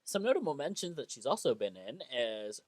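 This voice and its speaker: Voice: medium pitch, sing-song, fairly quick, no room sound. A man in his twenties co-hosting a podcast in a small studio, chatting casually with many fillers and frequent laughs. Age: 20s. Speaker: podcast co-host. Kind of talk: casual chat. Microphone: studio mic on stand.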